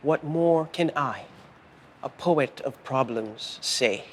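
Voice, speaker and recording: Slow, deliberate man reading poetry. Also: mid-range voice, slight background noise. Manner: deliberate, solemn, pausing between short phrases. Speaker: poet reading own work. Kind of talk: measured literary poetry reading.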